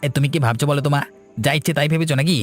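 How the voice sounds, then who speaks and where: A man's voice: on the high side, very fast, faint music behind, close to an everyday voice. A man playing an irritable, bossy young village son in a cartoon, scolding and exasperated. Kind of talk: Bengali cartoon voice acting.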